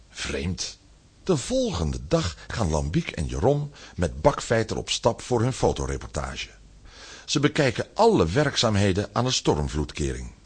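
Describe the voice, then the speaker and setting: A man's voice: on the low side, breathy edge, full character voice. A man narrating a scripted children's audio drama as the storyteller.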